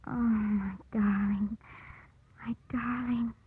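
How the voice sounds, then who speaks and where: An actress playing a caring, restrained woman in love, speaking gently and earnestly in a 1950s radio drama. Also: fairly high pitch, flat delivery, slow, a little hiss or hum. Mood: torn, tender. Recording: muffled old 1950s radio broadcast.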